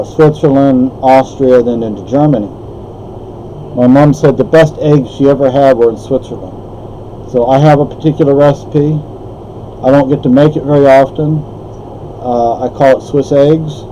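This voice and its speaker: Older man, native Spanish speaker, mellow voice, meandering talk, faint hum behind. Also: medium pitch, lo-fi sound. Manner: meandering, with frequent restarts and asides. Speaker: vlogger. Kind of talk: rambling personal monologue.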